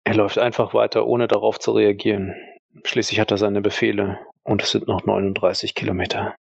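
A man's voice: fairly low pitch, flat delivery, brisk, gravelly, slightly rough, no room sound, dull audio. A man improvising third-person story narration as a player in a role-play audio drama.

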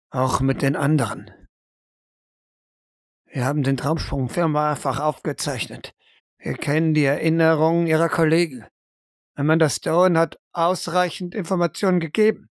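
A performer playing a stern, insistent interrogator in an audio drama, deliberate and emphatic. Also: medium pitch, dry recording, clean studio sound, close to an everyday voice. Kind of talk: dramatic audio play acting.

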